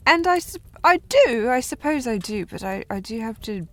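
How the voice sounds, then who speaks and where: A woman playing a tipsy, haughty young noblewoman in a historical audio drama, teasing and rambling in a halting way. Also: a little high, big pitch swings, fairly bright tone. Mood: musing, tipsy. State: playing slightly drunk. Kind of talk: scripted audio roleplay, period drama.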